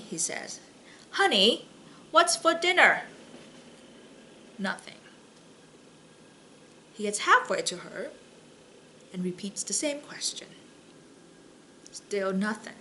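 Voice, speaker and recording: Slow, bright-voiced woman telling jokes casually. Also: medium pitch, slight background noise, poor audio. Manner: halting, chatty, with false starts and slips. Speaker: library story and joke reader. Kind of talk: casual read-aloud of jokes with personal chat.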